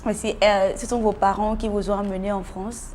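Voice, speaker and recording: A woman's voice: mid-range voice, flat delivery, fairly quick, a little mellow, slight background noise. A woman hosting an interview show, introducing her guest and asking questions in a formal, welcoming way. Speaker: host.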